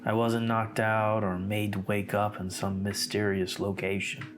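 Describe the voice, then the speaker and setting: A man playing a guarded investigator who infiltrated a cult, narrating his account in a measured, somber, diary-like way. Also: on the low side, little pitch movement, lightly acted. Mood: guarded, reflective. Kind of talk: scripted horror narration, diary-like. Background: music.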